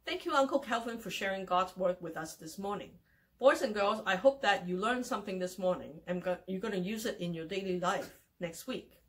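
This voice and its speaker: A friendly woman in her forties leading a children's worship session from home. She speaks to the kids in an encouraging tone at an ordinary pitch. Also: expressive, fairly quick, a bit bright. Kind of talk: addressing children.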